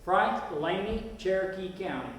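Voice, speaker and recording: A man's voice: high-pitched, expressive, moderate pace, fairly bright tone. A man playing sincere rural farmers in a stage play, reciting in a formal, declarative way. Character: sincere rural farmers pledging labor and land. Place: stage performance at a festival. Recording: echoey hall, distant mic.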